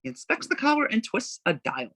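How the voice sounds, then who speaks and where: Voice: mid-range voice, big pitch swings, a little fast, vocal fry, sharp tone, dry recording. A narrator reading stage directions informally at a casual table read, a little amused.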